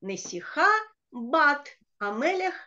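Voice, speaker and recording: A woman's voice: high-pitched, big pitch swings, takes it slowly, sharp tone, slight background noise. A middle-aged woman teaching a children's reading lesson over a video call, explaining word by word with patience. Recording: dull lo-fi video call audio.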